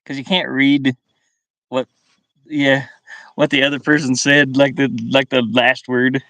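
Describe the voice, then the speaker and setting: Slow-talking middle-aged man, rambling casually. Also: medium pitch, flat delivery, bright, forceful tone, no echo. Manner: rambling, muttering to himself in short broken phrases. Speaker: livestream host. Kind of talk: casual live chat with viewers. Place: inside a van. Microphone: webcam.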